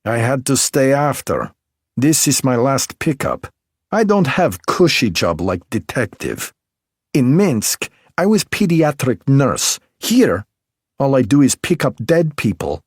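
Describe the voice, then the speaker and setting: A man's voice: mid-range voice, big pitch swings, slow, husky, no room sound, studio quality, voice pushed up, exaggerated voice. A man voicing a brusque middle-aged woman with a Belarusian accent who works as a medical examiner technician and speaks blunt, broken English.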